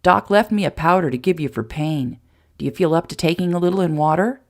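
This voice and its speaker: A woman reading a protective young man who speaks low and softly with concern. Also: brisk, natural voice. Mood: worried, tender.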